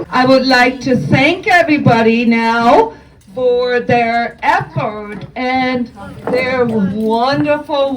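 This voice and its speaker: High-voiced woman hosting, far from the mic. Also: noisy background. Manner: encouraging, emphatic praise. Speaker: teacher hosting a student event. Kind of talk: addressing a live audience of students. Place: indoor school event, audience present. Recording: distant mic in room with audience.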